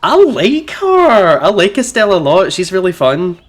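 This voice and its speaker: A man's voice: sits high, very animated, normal speed. A man hosting a gaming playthrough, chatting casually and reading visual novel text aloud. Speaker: gaming video host.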